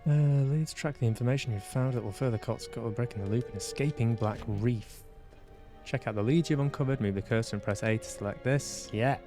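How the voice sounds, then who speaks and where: A man's voice: medium pitch, a little fast, music in the background. A man hosting a live game stream, reading on-screen text aloud with casual asides. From England. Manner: casual asides between read-out passages. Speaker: game streamer and podcast host.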